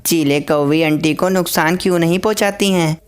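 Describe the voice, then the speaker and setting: A woman's voice: on the low side, normal speed, smooth, natural voice. Earnest little girl sparrow, voiced by a woman. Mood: curious, concerned. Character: dutiful, curious young girl sparrow.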